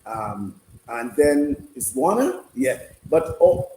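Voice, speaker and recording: A man hosting a casual live sports discussion, speaking haltingly and repeating himself. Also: medium pitch, very animated, mellow. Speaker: sports radio host. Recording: roomy, noisy room sound.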